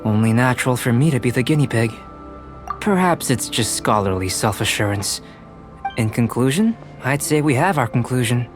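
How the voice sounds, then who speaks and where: A young male alchemist scholar from an anime game, acted by a man, calm and methodical. The medium-pitched voice speaks formally and precisely, with game music underneath. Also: close to an everyday voice. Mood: clinical. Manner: measured, formal, unruffled, precise. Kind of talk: anime video game voice acting.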